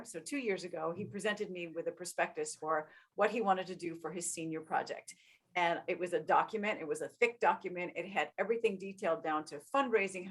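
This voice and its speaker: A middle-aged woman, a music professor, speaking earnestly and heartfelt on a panel, introducing a student. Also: lowish voice. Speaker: music professor and choir director. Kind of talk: panel discussion.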